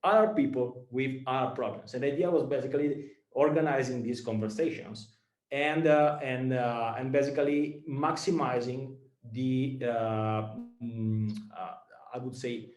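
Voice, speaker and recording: A man from Italy with an Italian accent, a startup founder giving a talk to students, speaking haltingly with many fillers and repeated words. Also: medium pitch, fairly even intonation, a little mellow, echoey room, poor audio.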